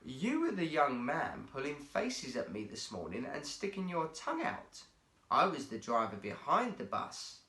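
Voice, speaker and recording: Man as a hearty, animated zoo showman. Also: fairly high pitch, unhurried, echoey room, heavily acted. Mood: knowing. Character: kindly, showmanlike male seal trainer at zoo.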